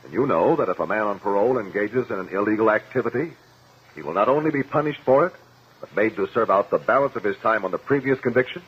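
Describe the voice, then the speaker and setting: An actor playing a firm, formal lawyer, probing and commanding, in a 1950s radio courtroom drama. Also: male voice, lowish voice, lively intonation, fast talker, slightly rough. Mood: pressing. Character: firm, probing lawyer cross-examining a witness. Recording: muffled 1950 radio broadcast.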